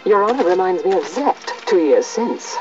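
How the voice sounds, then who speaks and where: A woman's voice: fairly low pitch, lively intonation, moderate pace, natural voice. A woman playing a severe, outspoken imperial judge in a fantasy video game, grave, challenging and formal. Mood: accusing, grave. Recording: distant, muffled game audio under music.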